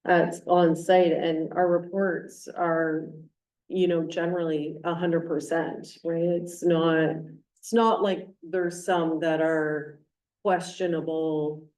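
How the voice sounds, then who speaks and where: A woman mayor leads a local council meeting and explains costs in plain, everyday terms. Her voice is lowish and mellow. She sounds distant, caught by a room mic in an echoey chamber. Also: flat delivery, takes it slowly. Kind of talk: chairing a public meeting.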